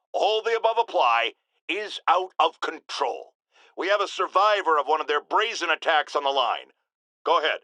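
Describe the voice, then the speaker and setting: A man's voice: high voice, bright, forceful tone, no echo, heavily acted, poor audio. A man playing a blustering, irascible middle-aged talk-radio host in a video game, ranting with theatrical indignation and sarcasm. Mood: indignant, impatient. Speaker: call-in radio show host.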